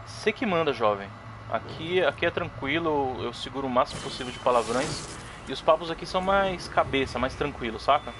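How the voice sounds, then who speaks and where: A man's voice: medium pitch, fairly quick, background noise. Chatty Brazilian streamer man. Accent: Brazilian Portuguese. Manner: chatty, thanks followers, sudden excited yelps. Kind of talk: casual live game commentary.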